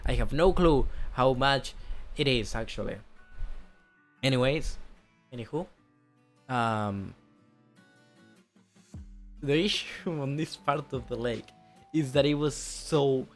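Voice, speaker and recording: A man's voice: medium pitch, slow, long pauses, faint music behind. A young man with a slight Spanish accent, chatting casually as a streamer about a trip, rambling, correcting himself and swearing now and then. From Spain.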